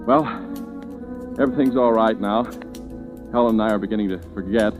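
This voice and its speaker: A man's voice: on the low side, fairly quick, vocal fry. An actor playing a relieved young man narrating his own tale, wry and conversational, in a 1940s radio drama recorded with music.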